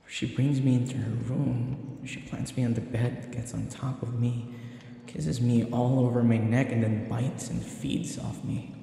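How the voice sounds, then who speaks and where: A man playing a timid, submissive servant of a vampire mistress in an intimate audio roleplay, hesitant and halting. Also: mid-range voice, little pitch movement, gravelly, mellow, very echoey, big-room sound. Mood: bewildered, musing.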